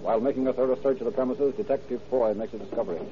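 Fast-talking male radio narrator, muffled. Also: mid-range voice, noisy background. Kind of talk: old-time radio scripted narration. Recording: muffled vintage radio recording.

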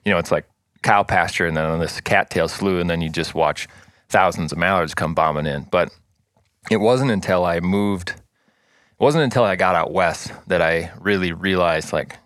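A man from South Dakota, a podcast guest, talking casually with many pauses and restarts. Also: low-pitched, a bit bright, no room sound, clean studio sound. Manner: rambling, many fillers and restarts. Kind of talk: casual interview chat.

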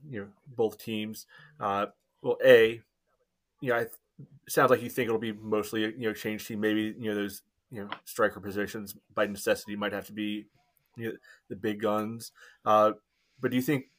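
A man co-hosting a casual sports chat in a halting way, with frequent filler phrases. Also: lowish voice, steady tone, fairly bright tone. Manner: halting, peppers talk with "you know". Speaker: podcast co-host.

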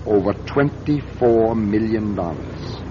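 A man's voice: on the low side, expressive, slow. An American man playing a ruthless, cornered corporation president in a radio drama, self-justifying and menacing. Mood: pressing, exasperated. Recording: dull, noisy old radio drama recording.

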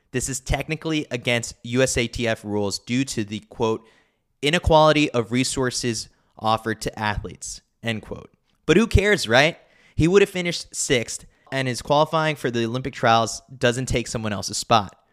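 A man's voice: mid-range voice, fairly bright tone, smooth. Man reading a wry sports newsletter. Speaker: newsletter reader and host. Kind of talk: scripted narration of a sports newsletter.

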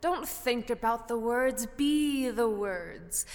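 A woman's voice: on the high side, lively intonation, slow pace, big-room sound. A woman playing the playful, encouraging ghost of a drama-school actress, theatrical and airy as she coaches. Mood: encouraging, coaxing.

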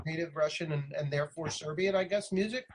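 A man hosting a casual interview, feeling his way into questions haltingly and repeating words. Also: a little high, slightly rough. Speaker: interviewer, host. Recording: faint background noise.

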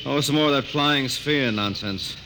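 A man playing a hurried, brusque army colonel and father in a 1950s radio drama. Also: mid-range voice, sharp tone, faint music behind, poor audio. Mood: brisk. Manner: brusque, dismissive.

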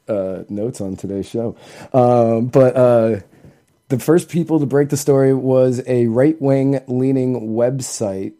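A man's voice: medium pitch, dark tone. An American man co-hosting a podcast, chatting casually in a laid-back, rambling, jokey way. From Northern Virginia, United States. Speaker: podcast co-host. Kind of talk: casual conversational podcast chat.

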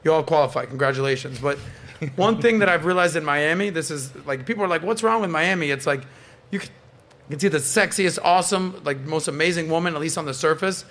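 A man's voice: fairly high pitch, quick pace. A man with a Canadian accent hosting a casual podcast panel, telling stories with playful teasing and frequent swearing. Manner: animated storytelling, swears freely, playful teasing. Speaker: podcast host.

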